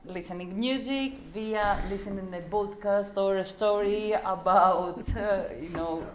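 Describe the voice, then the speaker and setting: A woman pitches an idea informally at a slow pace, halting and repeating herself as she searches for words. Faint noise and clicks sit behind her voice.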